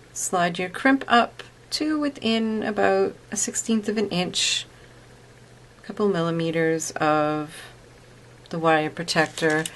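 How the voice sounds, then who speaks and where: A woman's voice: lowish voice, a little hiss or hum. A woman narrating a step-by-step craft tutorial, explaining each step plainly and patiently.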